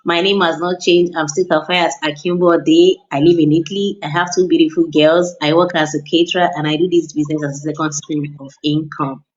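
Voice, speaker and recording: A woman with a fairly low voice, muffled as if over a weak internet call, greeting listeners as a podcast co-host. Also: fairly even intonation, fairly quick, hardly any pauses. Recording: dull, lo-fi audio.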